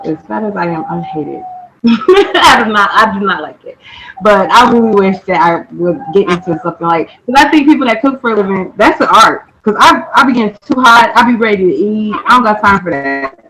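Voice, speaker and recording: A woman hosting a casual podcast chat, laid-back and quick to laugh. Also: mid-range voice, wildly swooping pitch, bright, forceful tone, a little hiss or hum, low-quality recording. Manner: laid-back, laughs easily, says y'all. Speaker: podcast host.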